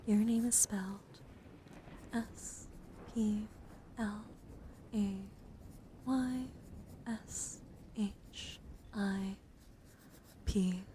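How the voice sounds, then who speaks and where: A young woman live streaming casual ASMR chat in a soft voice that often slips into whispers, rambling and easily excited, close to the microphone. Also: medium pitch, extremely slow, pauses often, a little hiss or hum, rough recording.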